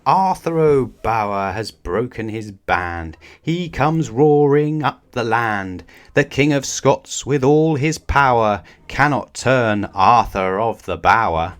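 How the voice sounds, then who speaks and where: A man's voice: medium pitch, animated, takes it slowly, hardly any pauses. A man voicing a cheeky, rude young male squirrel from a storybook, chanting riddles in a taunting sing-song. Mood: impertinent. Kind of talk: storybook character voice.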